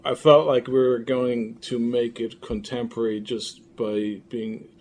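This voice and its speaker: A man chatting casually as an interview guest, halting as he thinks aloud. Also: medium pitch, little pitch movement, a little mellow. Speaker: interview guest, audio drama creator. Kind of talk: casual chat. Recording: faint background room noise.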